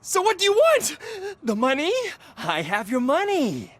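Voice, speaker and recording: A man's voice: very high-pitched, huge pitch swings, a little slow, fairly bright tone, no room sound, full character voice. A man playing a nervous, cornered small-time drug criminal in a video game, whining in street slang. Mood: desperate.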